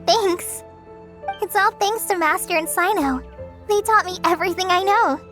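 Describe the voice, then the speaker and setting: A woman's voice: very high-pitched, normal speed, fairly bright tone, soft background music. A woman voicing a shy, modest young girl apprentice in a video game, hesitant and humble. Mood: modest, grateful.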